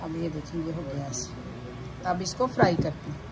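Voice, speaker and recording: A woman's voice: low-pitched, moderate pace, vocal fry. A woman giving a casual step-by-step cooking demonstration in a home kitchen, often repeating her phrases as she shows each step. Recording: noisy home kitchen recording.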